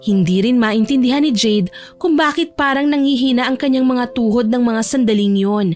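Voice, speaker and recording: A woman's voice: a little high, moderate pace, darkish tone, faint music behind. A woman narrating a romance story in a scripted audiobook style.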